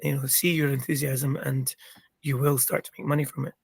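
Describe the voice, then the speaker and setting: A middle-aged man with a croaky, gravelly voice, chatting as an interview guest and rambling with many ums and restarts. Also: mid-range voice, flat delivery, brisk. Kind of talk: casual interview chat.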